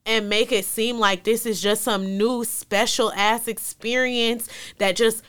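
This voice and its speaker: A woman's voice: a little high, fairly even intonation, a little slow, fairly bright tone, smooth. A young woman from Atlanta, blunt and emphatic in a casual group chat. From Atlanta, Georgia.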